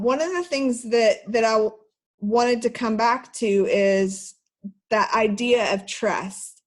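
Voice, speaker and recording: A woman, teaching an online church class through earnest personal stories. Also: on the high side. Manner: earnest, personal storytelling with soft laughs.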